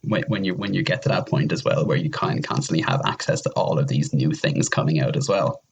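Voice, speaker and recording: Deep-voiced man, husky and rambling. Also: fairly quick. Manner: rambling, repeats himself. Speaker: podcast guest. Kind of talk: casual chat.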